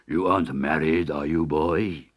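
A man voicing a grand storybook Sultan with a pompous, drawn-out, declamatory delivery. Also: extremely low pitch, steady tone, voice pushed down. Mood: delighted, grand. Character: grand, exuberant male Sultan of a storybook palace. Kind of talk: storybook character voice.

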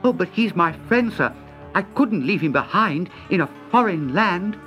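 A man's voice: high-pitched, lively intonation, moderate pace, bright, forceful tone, faint music behind, voice pushed up. A man voicing an earnest, loyal young cabin boy in a storybook, with a polite, pleading manner. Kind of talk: storybook character voice.

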